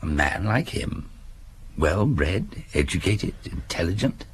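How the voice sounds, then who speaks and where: An actor playing an earnest, dutiful police subordinate in a radio drama, with a husky voice and a matter-of-fact, deferential manner. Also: male voice, sits low, sing-song, slow pace, slight background noise, heavily acted. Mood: puzzled.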